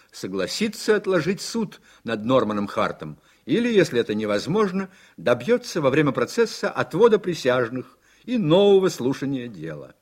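A man narrating a radio play in measured, articulate scripted storytelling. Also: medium pitch, breathy edge.

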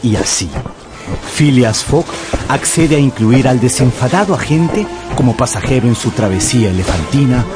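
A man narrating a radio drama in Spanish with deliberate, suspenseful storytelling. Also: medium pitch, lively intonation. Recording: music behind the voice.

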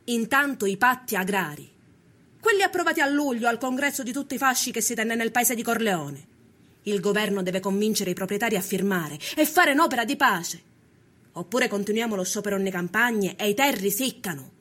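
A woman's voice: mid-range voice, lively intonation, brisk, a bit bright. A woman playing a resolute Sicilian peasant activist of the 1890s in a documentary drama, earnest and declarative, with a Sicilian accent. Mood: earnest, hopeful.

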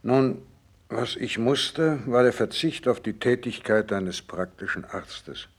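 An actor playing a gravely ill but resolute doctor in a 1960s German radio play, speaking in an earnest, measured, insistent way. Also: male voice, medium pitch.

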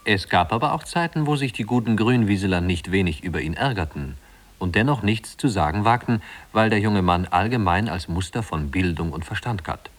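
Male storyteller for vintage radio drama, low register, composed, articulate literary narration. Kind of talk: literary scripted narration, 1950s German radio play.

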